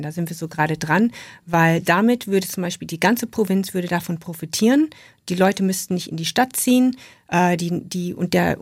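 A woman's voice: lowish voice, darkish tone, no echo, clean studio sound. A middle-aged woman, a native English speaker, giving a thoughtful radio interview as an author, with frequent restarts and fillers. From Western New Guinea. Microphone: studio microphone.

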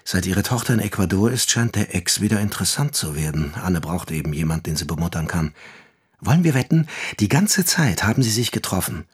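Radio drama role: suspicious, sulky boyfriend, very husky, animated low voice. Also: male voice, brisk, exaggerated voice. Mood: jealous, suspicious. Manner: suspicious, questioning. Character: jealous, suspicious male partner, sulky and needling. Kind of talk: dramatic first-person monologue.

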